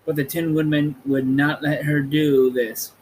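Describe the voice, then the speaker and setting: Man, storybook narration, fairly high voice, unhurried, halting amateur reading, slight background noise. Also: level tone, poor audio. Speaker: podcast host reading an audiobook chapter.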